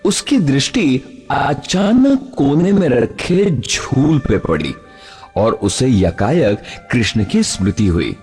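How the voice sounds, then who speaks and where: Slow, animated male storyteller. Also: mid-range voice. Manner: expressive, dramatic. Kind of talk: scripted children's story narration. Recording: music behind the voice.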